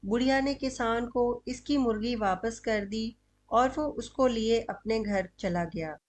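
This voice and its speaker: A woman's voice: on the high side, unhurried. A woman telling a scripted moral story as narrator of a cartoon.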